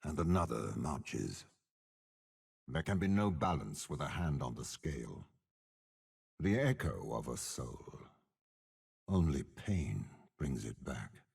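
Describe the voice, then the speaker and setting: Very deep gravelly warlord. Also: male voice, big pitch swings, a little mellow, full character voice. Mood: cold, ominous. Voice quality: gravelly, raspy. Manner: slow, measured, menacing, eloquent. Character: commanding, cold, cunning older male warlord with demonic power. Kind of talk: dramatic game character voice lines.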